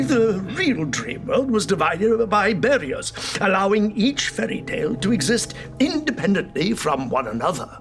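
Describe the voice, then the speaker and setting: A man's voice: sits high, vocal fry, heavily acted. A man playing a grave old guardian of time in a fantasy table read, solemn and dramatic in delivery. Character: Father Time, grave old male guardian of worlds, fading away.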